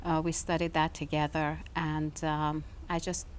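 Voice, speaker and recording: A woman from Iran, speaking as a guest in a television studio interview, soft-spoken and thoughtful, pausing often with "um". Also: low voice, flat delivery, slight background noise. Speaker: guest, author. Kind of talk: interview conversation.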